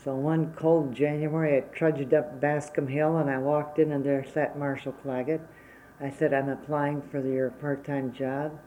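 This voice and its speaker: A woman's voice: deep voice, flat delivery, a little slow, dark tone, slight background noise, poor audio. A woman in her seventies from Wisconsin whose first language was Czech, recalling her working life plainly and unhurriedly in an oral history interview. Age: 70s.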